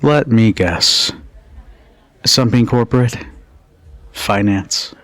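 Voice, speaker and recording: A man playing a protective, teasing, dominant bartender in an intimate ASMR roleplay, speaking in a deliberate, commanding yet caring way. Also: fairly bright tone, dry recording. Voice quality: low husky voice.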